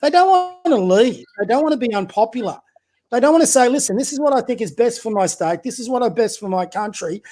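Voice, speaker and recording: Aussie coach guy on a podcast, pretty high voice, blunt and opinionated, lots of um and restarts, throws rhetorical questions around. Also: lively intonation, fast. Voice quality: high-pitched voice. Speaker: community basketball coach, podcast guest. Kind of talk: casual political chat.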